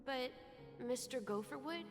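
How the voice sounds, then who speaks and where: An actor playing an earnest, gentle young boy in a dramatic game scene, speaking thoughtfully and hesitantly. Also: extremely high pitch, measured pace. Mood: earnest, pensive. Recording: long reverb, music behind.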